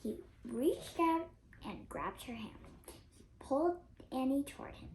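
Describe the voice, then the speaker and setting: An eight-year-old girl reading a storybook aloud, carefully and a little haltingly, with expression. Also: sits high, sing-song, darkish tone. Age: child of 8. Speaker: young reader. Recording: noisy home recording.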